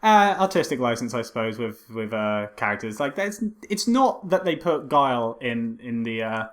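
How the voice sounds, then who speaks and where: A man co-hosting a casual film review, explaining in a wry, halting way and often repeating words. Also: medium pitch, sing-song. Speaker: podcast co-host.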